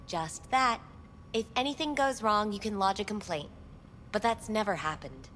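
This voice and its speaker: A woman playing a poised, enigmatic receptionist at a futuristic club in a video game, with formal, measured, coy delivery. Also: mid-range voice, animated, a bit bright, a little hiss or hum, lightly acted. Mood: coy, inviting. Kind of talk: video game voice acting, scripted dialogue.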